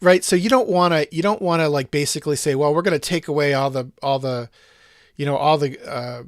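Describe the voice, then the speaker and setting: A man with a slightly higher voice hosts a casual podcast and talks in long, winding thoughts that loop back on themselves. The sound is dry and close, with no room around it. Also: brisk, dry recording. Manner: thoughtful, rambling with restarts and asides. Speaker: podcast host.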